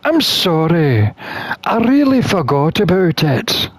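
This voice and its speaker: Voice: mid-range voice, very animated, takes it slowly, no room sound. A person voicing a flustered, protective satyr from a visual novel in a hesitant, stammering way. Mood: embarrassed, apologetic. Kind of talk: acted visual novel dialogue reading.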